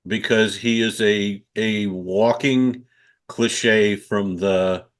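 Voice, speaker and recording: Male reviewer, low register, very unhurried delivery of script feedback. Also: steady tone. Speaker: industry reviewer giving feedback. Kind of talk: spoken critique.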